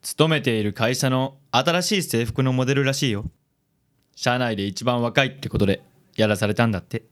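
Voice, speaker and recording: A man playing a vain, suave, self-styled handsome investigator in a radio drama, with smooth, theatrical self-assurance. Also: medium pitch, fairly quick, lightly acted. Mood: light, teasing.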